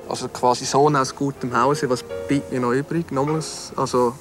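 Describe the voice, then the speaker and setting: Male, medium pitch, spontaneous halting commentary with clear piano music underneath. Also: steady tone. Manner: halting, repeating himself.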